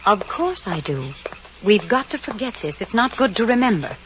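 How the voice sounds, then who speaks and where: An actress playing a headstrong, self-possessed maiden lady, firm and brisk, in an old radio drama. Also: medium pitch, very animated, a bit bright. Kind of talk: 1940s-50s radio drama acting. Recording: muffled, noisy old radio recording.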